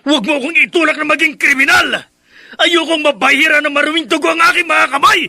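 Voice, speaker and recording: An actor playing an angry, bitter young adult son in a melodramatic Filipino radio drama, with a confrontational delivery and a Filipino accent. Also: male voice, very high-pitched, fast talker, gravelly, bright, forceful tone, full character voice. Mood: furious, threatening.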